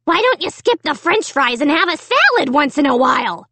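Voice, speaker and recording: A woman voicing a malicious male ventriloquist dummy in a storybook, raspy, harsh and taunting with rude insults. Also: high-pitched, sing-song, moderate pace, pushed and bright, a little hiss or hum, pitched above the performer's own voice, exaggerated voice. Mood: mocking, harsh.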